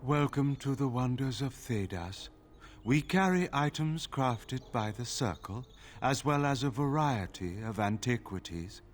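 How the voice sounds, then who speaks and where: A man's voice: medium pitch, lively intonation, a little slow, a little hiss or hum, natural voice. Man playing a formal fantasy shop proprietor. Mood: placid. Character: formal, placid male magic shop proprietor. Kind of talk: fantasy video game acting.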